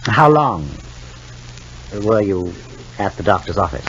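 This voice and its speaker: Radio soap father: low, swooping intonation, formal, insistent, halting; dull noisy vintage recording. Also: sing-song, fairly bright tone, heavily acted. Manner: measured, halting, insistent questioning. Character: probing, stern, formal father questioning his child. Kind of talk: 1950s radio soap acting.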